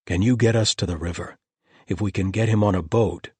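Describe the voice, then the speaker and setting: A man's voice: on the low side, fairly even intonation, brisk, darkish tone, slightly rough, no echo. A man voicing an earnest male warrior who speaks urgently.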